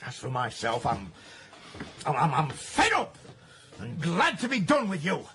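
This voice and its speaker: An actor playing a stern, pompous workhouse master, formal and exasperated, in a radio drama. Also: male voice, on the high side, huge pitch swings, measured pace, background noise. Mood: fed up, commanding.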